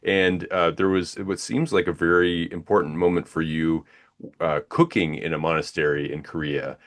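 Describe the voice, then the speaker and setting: Man, very low voice, podcast host, hesitant, restarts. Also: level tone, moderate pace. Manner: hesitant, many restarts and fillers. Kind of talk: interview question.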